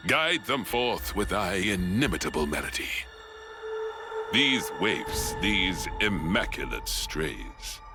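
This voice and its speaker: A grandiose game villain with a low, swooping voice. He gloats in archaic diction, savoring each phrase like a puppet master. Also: big pitch swings, slow, music in the background, full character voice. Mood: gloating, admiring. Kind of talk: video game cutscene acting.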